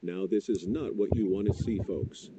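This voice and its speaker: A man's voice: lowish voice, steady tone, normal speed, dark tone, slight background noise, close to an everyday voice. A man playing a grave, emphatic TV meteorologist giving urgent live storm warnings. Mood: grave, firm. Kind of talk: live severe weather broadcast commentary. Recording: dull, muffled stream playback.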